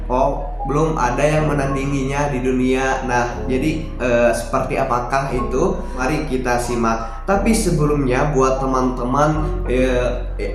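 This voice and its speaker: A young Indonesian man talking casually to camera as a reaction vlogger, pausing often with filler sounds, recorded on a phone. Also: medium pitch. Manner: hesitant, many filler "eh" pauses. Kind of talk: casual vlog talk to camera. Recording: very echoey room, music behind.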